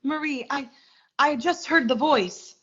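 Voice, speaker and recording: A woman's voice: high-pitched, unhurried, fairly bright tone, lo-fi sound. A young woman playing an ambitious, blunt, easily rattled career woman in a 1940s-style suspense radio play. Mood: shaken. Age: young adult.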